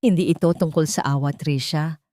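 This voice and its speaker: A woman voicing a dignified young man who speaks low, firm and earnest, with calm authority. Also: moderate pace, dry recording, studio quality, natural voice.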